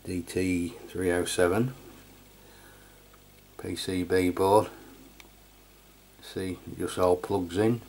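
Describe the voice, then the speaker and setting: A middle-aged Englishman with a low-pitched voice talks through a piece of equipment. He is informal and matter-of-fact, in the casual style of a hands-on video review. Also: little pitch movement, slow, long pauses, darkish tone, breathy edge. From England. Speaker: radio review channel host.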